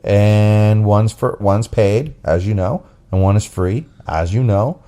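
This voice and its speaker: A man with a deep, bassy voice hosts a casual podcast and pitches in low. He rambles through his points with restarts and fillers. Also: slow pace, dark tone. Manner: rambling, loose delivery with many restarts and fillers. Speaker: podcast host. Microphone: phone app recording.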